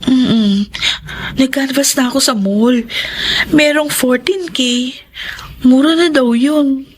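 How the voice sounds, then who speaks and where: An actress playing a carefree, wheedling college-age younger sister with a Filipino accent in a Tagalog radio drama. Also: female voice, sits high, slow. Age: young adult.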